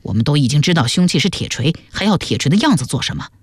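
A woman's voice: deep voice, sing-song, fast talker, slightly rough, no echo, clean studio sound, exaggerated voice. A woman voicing a brash, curious young man in a historical audiobook, blunt and questioning. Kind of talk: audiobook character voice.

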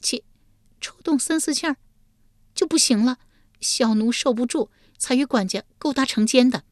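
A woman voicing a frightened young wife on trial who stammers in a high, trembling voice. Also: moderate pace, a little mellow, no echo, studio quality, lightly acted. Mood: pleading.

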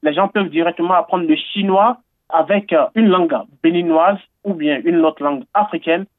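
A man from Benin with a high voice speaks earnestly in a radio interview, pausing often on fillers and repeating himself as he builds enthusiasm. The sound is thin and phone-like, dry with no room echo. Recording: tinny phone-like line, dry.

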